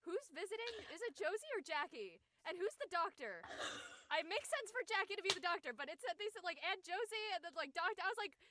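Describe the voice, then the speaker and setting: A woman's voice: very high voice, very animated, quick pace, background noise. A woman chatting casually as a panelist. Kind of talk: casual chat.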